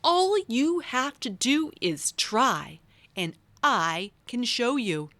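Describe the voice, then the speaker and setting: A woman's voice: on the high side, big pitch swings, slow pace, fairly bright tone, no room sound, pitched above the performer's own voice, exaggerated voice. A woman voicing a kindly female butterfly in a children's storybook, encouraging and reassuring.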